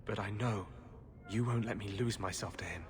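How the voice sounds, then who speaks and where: A man's voice: on the low side, moderate pace, a crowd in the background, natural voice. A man playing an idealistic, intense, troubled rebel mage in a video game. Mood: tender.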